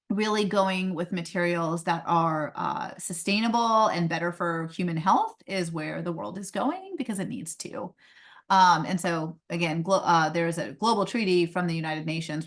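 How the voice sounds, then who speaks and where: A woman who grew up in India, a pediatrician and company founder, giving a conversational webinar talk with frequent fillers over a video call. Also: mid-range voice. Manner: conversational, many um fillers, light laughs. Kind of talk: webinar presentation.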